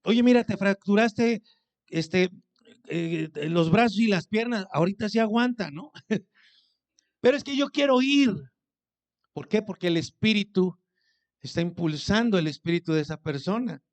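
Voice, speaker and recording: A man's voice: high voice, moderate pace, long pauses. A man preaching a sermon as pastor, speaking conversationally, asking his congregation questions and acting out what people say to him.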